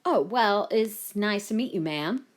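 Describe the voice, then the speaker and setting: A woman's voice: medium pitch, expressive, measured pace, voice pushed up, lightly acted. A woman reader voicing a courteous, formal male US President making polite conversation.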